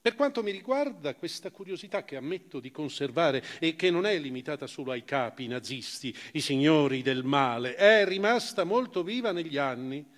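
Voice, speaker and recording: A man giving a dramatic first-person literary reading on stage, theatrical, with emphatic rises and hushed phrase endings. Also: a little high, lively intonation, normal speed, few pauses. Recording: reverberant hall, live stage reading.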